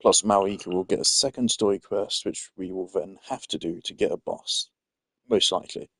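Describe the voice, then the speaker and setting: A man's voice: deep voice, moderate pace, a bit bright, dry recording. A man giving casual, rambling gaming commentary, thinking aloud as a streamer. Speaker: game streamer.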